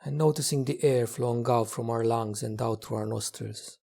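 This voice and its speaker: Husky, creaky man guiding a meditation. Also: mid-range voice, steady tone. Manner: gentle, unhurried, with long pauses between phrases. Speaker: meditation teacher. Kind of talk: guided meditation, slow spoken instruction.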